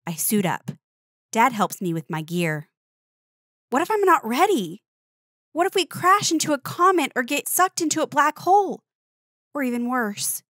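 A woman reading a children's picture book aloud with expressive, playful emphasis. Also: on the high side, no room sound, studio quality. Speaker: storybook reader.

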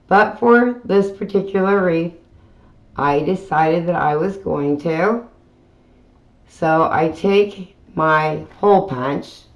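A woman hosting a craft channel, talking through a tutorial in a casual voiceover with plain, unhurried step-by-step explaining. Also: low-pitched. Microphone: voiceover mic.

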